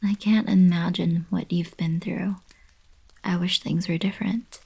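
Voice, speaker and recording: Young alien princess for ASMR roleplay, played by a woman: caring, regal, easily flustered, breathy half-whisper with a slow delivery and slight creak. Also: on the low side, fairly even intonation, heavily acted, poor audio. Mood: gentle, sorrowful. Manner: soft-spoken, breathy, half-whispered, hesitant. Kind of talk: intimate girlfriend ASMR roleplay acting.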